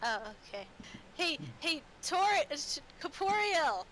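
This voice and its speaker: A woman running a casual tabletop roleplay as game master, sick with a sore throat and speaking haltingly, repeating herself. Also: high-pitched, big pitch swings, sharp tone, a little hiss or hum, rough recording.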